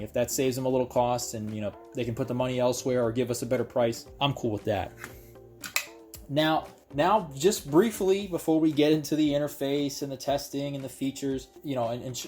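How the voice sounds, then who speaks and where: A man's voice: medium pitch, darkish tone. Casual man reviewing gear, music behind. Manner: conversational explainer, addresses viewers directly. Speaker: product reviewer. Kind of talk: casual unboxing and review talk to viewers.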